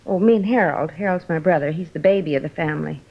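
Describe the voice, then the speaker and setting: A troubled, resigned woman in a vintage radio drama. Her voice is fairly low with a creak in it, hesitant and confiding, over a dull broadcast recording. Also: fast. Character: resigned, troubled sister of a sick man. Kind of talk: 1950s radio drama acting. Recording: muffled old radio broadcast.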